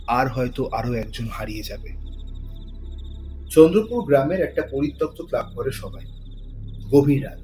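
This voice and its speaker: A man's voice: medium pitch, darkish tone, rough recording. A man narrating a scripted thriller story in a dramatic style. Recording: voice over music bed.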